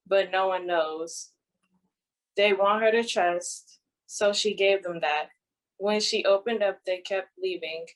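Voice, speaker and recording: A woman's voice: medium pitch, rough recording. A woman reading her own poetry aloud as a spoken-word recital. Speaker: poet reading own work.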